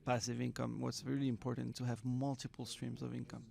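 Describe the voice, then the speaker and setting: Man, from Morocco, interview guest, gravelly, monotone, halting, repeats words. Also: medium pitch. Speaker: guest, entrepreneur. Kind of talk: casual interview talk. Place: Miami.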